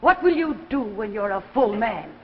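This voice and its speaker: An actress playing a protective, distraught young daughter, emotional and defiant, in 1950s radio drama style. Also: female voice, a little high, lively intonation, moderate pace, lightly acted. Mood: pleading, defiant. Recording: muffled old radio recording, reverberant, music behind.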